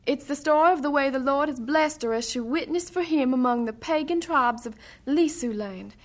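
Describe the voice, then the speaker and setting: A woman's voice: high-pitched, moderate pace, lightly acted. A woman playing a chatty, effusive seminary wife introducing a guest in an old-fashioned radio drama, with a sugary manner.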